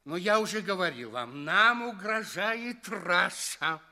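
Radio drama bit part: obliging alien local, high and extremely sharp voice, emphatic delivery. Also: male voice, expressive, slow, full character voice. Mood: matter-of-fact. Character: obliging male alien citizen explaining things. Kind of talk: theatrical radio play acting.

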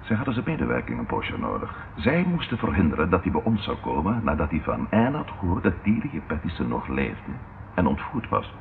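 An actor playing a shrewd policeman in a radio play, deliberate and matter-of-fact in manner. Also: a bass voice, wildly swooping pitch, heavily acted. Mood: reasoning. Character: measured, shrewd policeman colleague reporting grim findings. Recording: muffled, noisy old radio recording.

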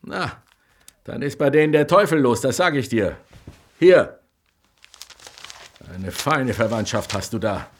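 Ein Schauspieler spielt in einem Hörspiel einen groben, polternden Vater mittleren Alters mit heiserer Stimme, der derb redet und flucht. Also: male voice, mid-range voice, animated, measured pace, pauses often. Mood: scornful, angry. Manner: rough, colloquial, cursing. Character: coarse, blustering father, quick to threaten a beating. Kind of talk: radio drama acting.

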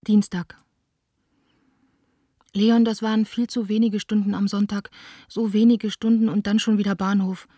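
A woman's voice: mid-range voice, level tone, normal speed, long pauses, dark tone, no echo, clean studio sound, lightly acted. An actress playing a lovesick young woman who reads her intimate, wistful letters aloud in a radio play. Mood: longing.

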